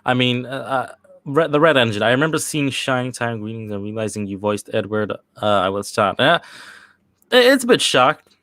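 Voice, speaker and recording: A man's voice: mid-range voice, sing-song, fairly bright tone, no room sound, clean studio sound. A young man from Sweden chatting casually on a livestream, answering viewer questions with lots of fillers and restarts. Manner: rambling, halting, with many restarts and fillers. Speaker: channel host answering live chat questions.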